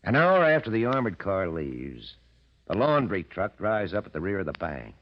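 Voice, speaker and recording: An actor playing a cagey, self-assured gang boss in the style of 1940s radio crime drama. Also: male voice, sits low, big pitch swings, normal speed. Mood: confident, scheming. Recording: dull, lo-fi old radio recording.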